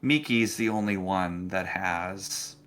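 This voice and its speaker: A man acting as game master, narrating casually and haltingly with frequent pauses. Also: low voice, a bit bright. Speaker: game master of a tabletop role-playing game.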